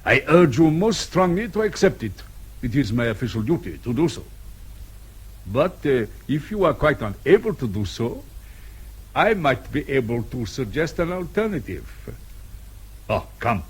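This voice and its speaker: An actor playing a suave, scheming colonel in a radio drama, husky, formal and measured, with threats veiled in courtesy. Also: male voice, mid-range voice, big pitch swings, low-quality recording. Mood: courteously pressing.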